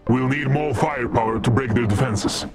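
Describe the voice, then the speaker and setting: An actor playing a brooding, driven war veteran in a video game, grave and determined. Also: male voice, medium pitch, moderate pace, creaky, over loud music, lightly acted. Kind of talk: video game cutscene acting.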